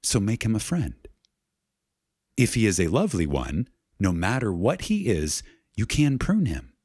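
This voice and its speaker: A man delivers a spiritual lecture as a solemn, dramatic reading. He takes his time, leaving deliberate pauses and leaning on key words. His husky voice moves expressively up and down, with reverence throughout. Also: on the low side, huge pitch swings, darkish tone, no echo, clean studio sound.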